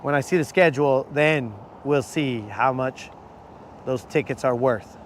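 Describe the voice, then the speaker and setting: A man hosting a live fishing stream from a boat, talking casually with viewers in a hoarse voice. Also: medium pitch, a little slow, slight background noise. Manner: casual, slangy, calls viewers bro. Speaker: fishing channel host. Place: outdoors on a boat on a lake.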